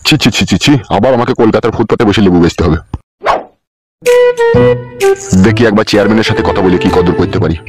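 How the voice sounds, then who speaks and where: A man's voice: fairly low pitch, rapid-fire, loud music behind the voice, natural voice. A man voicing a brusque, bossy, swearing older thug uncle in a comic cartoon. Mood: worried grumbling. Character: gruff, foul-mouthed, bossy older neighbourhood thug uncle.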